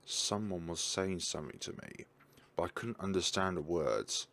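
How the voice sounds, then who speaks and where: A man's voice: sits low, animated, moderate pace, creaky, a bit bright. A man reading a visual novel's text aloud, stumbling and correcting himself, with casual asides about the game. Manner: stumbling reads with self-corrections.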